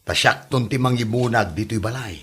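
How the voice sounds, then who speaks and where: A man acting a reluctant, grumbling working husband from a village in a comedy drama, with a husky voice and an Ilocano accent. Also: medium pitch, normal speed, pushed and bright.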